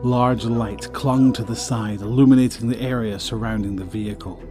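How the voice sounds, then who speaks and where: A man's voice: mid-range voice, fairly even intonation, normal speed, music in the background. A man narrating a scripted story as the narrator of an audio drama.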